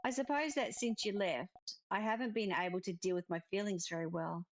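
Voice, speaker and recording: A woman's voice: medium pitch, a little fast, soft background music, lightly acted. A woman reading the part of a troubled, grieving musician in a visual novel, hesitant and defensive. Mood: flustered, defensive.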